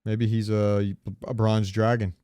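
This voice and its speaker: A man's voice: lowish voice, little pitch movement, unhurried, dark tone, smooth, dry recording. A man hosting a casual gaming podcast, joking and opinionated. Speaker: podcast host.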